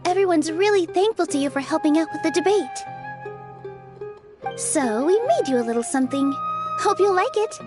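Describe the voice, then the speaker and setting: A sweet young theater dancer. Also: female voice, very high voice, animated, moderate pace, long pauses. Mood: kind. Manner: warm-hearted and encouraging, softly coaxing. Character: gentle, cheerful young female theater dancer. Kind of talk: game cutscene voice acting. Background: game music.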